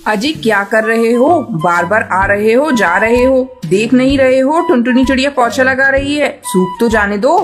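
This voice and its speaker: A woman's voice: fairly high pitch, moderate pace, few pauses, music in the background, natural voice. A woman voicing a bossy, scolding mother bird who runs the house, in a children's cartoon.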